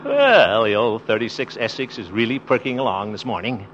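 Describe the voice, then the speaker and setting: An actor playing an excitable, boastful but good-natured grown son in a 1950s radio sitcom, hammy and blustery. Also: male voice, mid-range voice, moderate pace, lightly acted. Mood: exasperated, grumbling. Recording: dull old radio recording.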